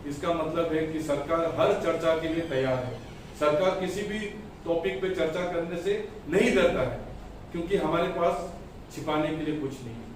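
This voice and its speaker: A man delivers a political press statement as a party spokesperson, emphatically and deliberately in short phrases. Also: fairly high pitch. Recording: echoey room, distant mic, faint noise.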